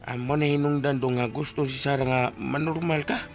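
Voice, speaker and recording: A man's voice: mid-range voice, fairly even intonation, fairly quick, faint music behind, lightly acted. An actor playing a brash, teasing male friend in a radio drama, coaxing and needling with exclamations as he explains things. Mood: patient, coaxing.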